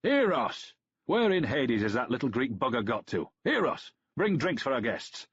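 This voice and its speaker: A man voicing a boisterous, hot-headed young Roman general with a Danubian accent, loud and hearty. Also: lowish voice, animated, a little fast, breathy edge, lo-fi sound, pitched above the performer's own voice. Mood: bellowing.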